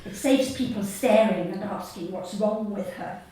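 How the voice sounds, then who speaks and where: A woman reading her comic short story live to an audience, in a deadpan, measured way with deliberate pauses. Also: mid-range voice. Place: theatre stage at a live reading event. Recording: echoey hall, distant mic, audience noise. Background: audience laughter.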